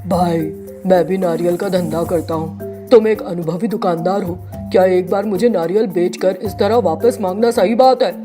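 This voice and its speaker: A woman's voice: fairly low pitch, steady tone, moderate pace, over music, voice pushed down, natural voice. A woman voicing a sly, pushy male swindler from a village, in a storybook tale. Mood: firm. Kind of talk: storybook character voice.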